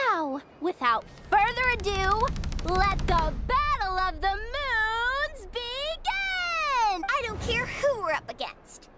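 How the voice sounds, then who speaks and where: Very high, theatrical little girl. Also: heavily acted. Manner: theatrical, brash announcer-like delivery. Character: excitable little girl robot battler in moon goddess costume, cocky. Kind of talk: animated game voice acting. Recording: music behind the voice.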